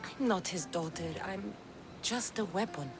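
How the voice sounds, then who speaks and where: A woman's voice: medium pitch, a little slow, over music, natural voice. A woman acting a tough, guarded young Greek warrior in a video game, blunt and defiant in manner.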